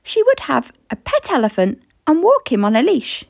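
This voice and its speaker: A woman reading a children's story aloud in expressive scripted narration. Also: sits high, moderate pace, creaky, no room sound, studio quality.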